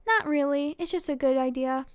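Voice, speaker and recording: A woman voicing a sweet, bashful, brainy teenage schoolgirl in a read-aloud romance story, in an expressive way. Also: sits high, moderate pace, dry recording, studio quality, close to an everyday voice. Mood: modest.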